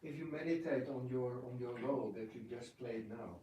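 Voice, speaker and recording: A man in the audience asking a spontaneous, halting question during a talk. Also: medium pitch, warm tone, a little hiss or hum. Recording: echoey room, far from mic.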